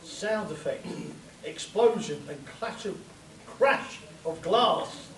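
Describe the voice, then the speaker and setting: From across a loud, echoing room, a man announces sound cues for a radio play spoof. His voice is high and animated, with slow, spaced-out delivery. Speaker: sound effects cue reader. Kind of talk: comic cue reading in a spoof radio play. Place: convention stage before an audience. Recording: distant, echoey, very noisy live room.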